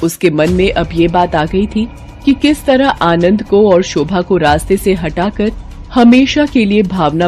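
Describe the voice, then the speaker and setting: A woman's voice: fairly low pitch, darkish tone. A woman reading a scripted moral bedtime story as narrator, with music in the background.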